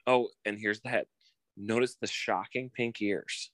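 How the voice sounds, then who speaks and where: A man's voice: fairly low pitch, fairly even intonation, a little slow, fairly bright tone, no echo. A man playing a brash, glib, pushy young detective with a showman's theatrical, boastful delivery in an old-time radio comedy. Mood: brisk, smug.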